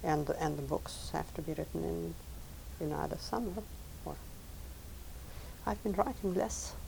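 A woman with a low voice and a gravelly edge, a native Lithuanian speaker, answering questions in a recorded interview. She talks in a measured, thoughtful way, often repeating words. A faint hiss sits under the recording. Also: dark tone, low-quality recording. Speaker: professor and translator. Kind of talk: recorded oral history interview.

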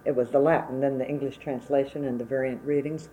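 A woman's voice: very deep voice, steady tone, quick pace, warm tone, a little hiss or hum, poor audio. A woman in her seventies from Wisconsin whose first language was Czech, recalling her working life plainly and unhurriedly in an oral history interview. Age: 70s.

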